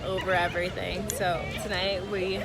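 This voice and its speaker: A woman in her twenties, vlogging casually to her viewers outdoors at night on a handheld phone, chatty and excitable. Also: on the low side, moderate pace. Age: 20s. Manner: chatty, excitable, often laughing. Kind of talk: casual travel vlog talk to viewers. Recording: loud music and crowd noise behind.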